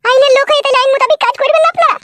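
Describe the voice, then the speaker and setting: Squeaky, very fast, indignant young widow. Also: female voice, steady tone, sharp tone, slight background noise. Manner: complaining, insistent. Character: indignant, pushy young village widow in a cartoon. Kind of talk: Bengali comedy cartoon dubbing.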